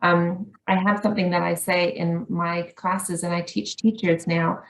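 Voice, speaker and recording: A woman's voice: lowish voice, level tone, measured pace, roomy sound, rough recording. A woman with a Canadian accent giving an earnest conference presentation as an academic, with frequent ums.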